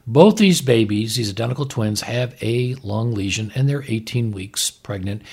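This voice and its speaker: A man's voice: mid-range voice, normal speed, a little mellow, slightly rough. A man who is a doctor co-hosting a medical talk, explaining things in a plain-spoken, frank and emphatic way. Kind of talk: explanatory commentary in conversation.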